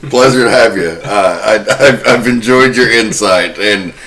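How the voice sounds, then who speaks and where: Bright, low-voiced man hosting a podcast. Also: a little slow. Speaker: podcast host. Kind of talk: conversational horror podcast hosting and film history narration.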